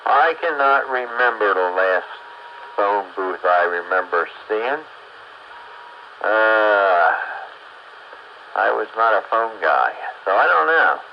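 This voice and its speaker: A man's voice: fairly low pitch, lively intonation, slow pace, pauses often. A man chatting casually on a radio show, in everyday conversational style. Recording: muffled, faintly noisy radio transmission.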